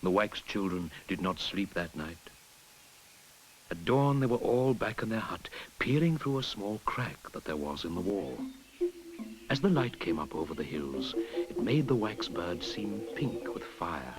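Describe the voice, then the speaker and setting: A man narrating a folktale in an expressive storytelling manner, sometimes dropping to a whisper. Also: low voice, measured pace, slight background noise, low-quality recording. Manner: expressive storytelling, drops to a whisper at hushed moments. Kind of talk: storybook narration over music. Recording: narration with clear music behind.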